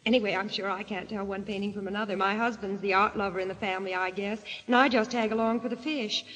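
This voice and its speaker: A woman's voice: medium pitch, steady tone, quick pace, a bit bright, close to an everyday voice. A woman playing a fluffy, timid wife who recounts a nightmare in an old-time radio mystery, naive and dreamy. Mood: nervous chatter. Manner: naive chatter, dreamy first-person narration. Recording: muffled vintage radio recording with music.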